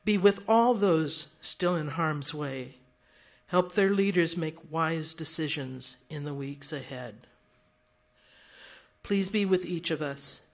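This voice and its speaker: An older woman who chairs and emcees a seniors' meeting in a large room. She speaks into a handheld microphone, giving orderly announcements with light humor and leading a prayer. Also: low voice. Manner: orderly and practical, with light self-deprecating humor.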